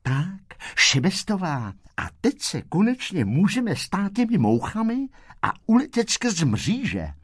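A man's voice: high-pitched, wildly swooping pitch, slightly rough, no echo, clean studio sound, voice pushed up, exaggerated voice. A man voicing an earnest, polite schoolboy in a storybook, speaking matter-of-factly. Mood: resolved. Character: earnest, polite, resourceful schoolboy with odd ideas.

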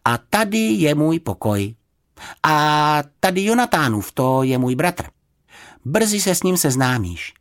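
A cheerful young girl in a children's storybook, played by an adult narrator. She chatters in a high, lively voice, confident, eager and friendly.

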